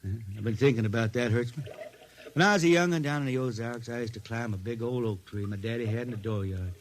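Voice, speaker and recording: A man's voice: medium pitch, a little hiss or hum, close to an everyday voice. An actor playing a wistful, folksy spaceman poet from the hills with a Southern country accent, in a vintage radio drama. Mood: homesick. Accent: Southern US hill country. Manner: folksy, halting, dreamy. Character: wistful blind spaceman poet, Ozark country-bred drifter. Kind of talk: old-time radio drama acting.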